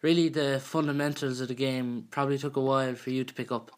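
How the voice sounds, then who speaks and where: A man's voice: medium pitch, level tone, fast. A man hosting an interview, putting prepared questions to a guest. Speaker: show host, interviewer.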